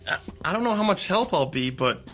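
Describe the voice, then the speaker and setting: An actor playing a hesitant, low-key young student boyfriend in an audio drama. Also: male voice, sing-song, brisk, a bit bright, soft background music. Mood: hesitant, supportive.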